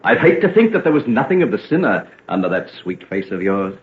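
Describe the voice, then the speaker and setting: An actor playing an ardent young gentleman doctor with a darker side in a vintage radio drama, with romantic old-fashioned diction. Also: lowish voice, very animated, fairly quick. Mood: ardent, teasing. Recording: tinny, noisy old radio recording.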